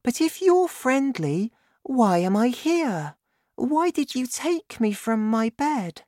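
A man voicing a small frightened orphan girl in a storybook reading, with high pleading cries. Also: very animated, slow pace, a little mellow, no room sound, studio quality, exaggerated voice. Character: little orphan girl, frightened then plucky.

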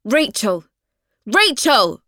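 A woman doing a scolding, fed-up flatmate. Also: a little high, drawn-out words, dry recording, clean studio sound, pitched above the performer's own voice, heavily acted. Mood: angry. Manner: sharp, scolding, raised voice.

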